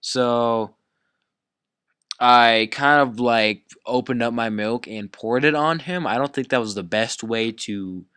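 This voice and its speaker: A young man with a clean, smooth voice and a dry, close sound. He tells a story casually, rambling along in slangy, swear-heavy speech. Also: medium pitch, slow, bright, forceful tone, dry recording. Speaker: YouTube storyteller.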